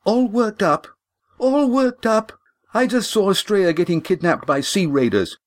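A man voicing a fiery, sharp-tongued young woman with shrill, indignant outbursts. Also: lively intonation, unhurried, dry recording, clean studio sound. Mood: furious.

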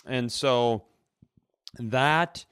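A man's voice: medium pitch, drawn-out words, a bit bright, clear, resonant tone, no room sound, studio quality. A man co-hosting a comedy podcast, chatting casually in a rambling, bantering storyteller's way.

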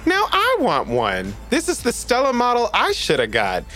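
A man as cocky young space traveler Duran, animated. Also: extremely high pitch, normal speed, soft background music, exaggerated voice. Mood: cocky, playful. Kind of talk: audio drama acting.

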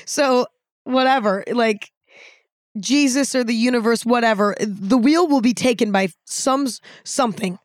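A young woman in her twenties with a raspy voice, talking frankly and casually on her own podcast, with some swearing. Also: fairly high pitch, unhurried, no room sound, studio quality. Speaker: podcast host. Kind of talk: candid solo podcast talk.

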